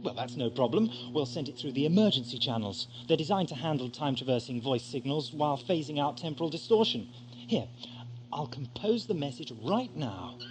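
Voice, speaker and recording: An actor playing an eccentric, theatrical time traveller in an audio drama, brisk and self-mocking. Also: male voice, on the high side, lively intonation, background noise, lightly acted. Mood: brisk, reasoning. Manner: theatrical, expounding, exclamatory. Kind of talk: 1980s amateur audio drama acting.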